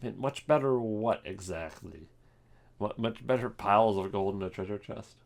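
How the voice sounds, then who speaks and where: A man's voice: on the low side, moderate pace, vocal fry. A man giving casual, off-the-cuff ranking commentary, rambling and jokey in a self-deprecating way. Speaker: video creator ranking game voice lines.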